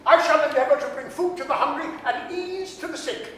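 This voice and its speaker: A man's voice: very high voice, a little fast, bright, forceful tone. A man playing a giddy, generous old miser, stammering theatrically in a 1930s radio drama reading. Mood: joyful generosity. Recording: echoey hall, distant mic, hiss.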